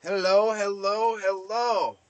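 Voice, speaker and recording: A man's voice: high-pitched, fairly even intonation, slow pace, clear, resonant tone. A man in his thirties hosting a rowdy, goofy cooking livestream in a small kitchen, exuberant and given to whooping. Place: small camper kitchen, indoors.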